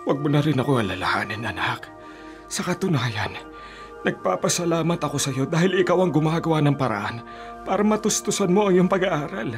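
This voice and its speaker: An actor playing a poor, kindly, middle-aged father who collects scrap, in an excitable Filipino radio drama style. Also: fairly high pitch, fairly quick, faint music behind. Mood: tender, apologetic. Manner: apologetic with his child, wide-eyed and incredulous at wonders.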